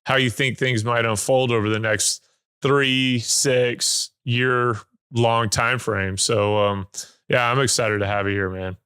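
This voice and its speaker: A man's voice: medium pitch, steady tone, measured pace, sharp tone, clean tone, no room sound, studio quality. A man hosting a podcast, chatting casually in a loose, rambling way with lots of filler words. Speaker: podcast host. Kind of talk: casual interview chat.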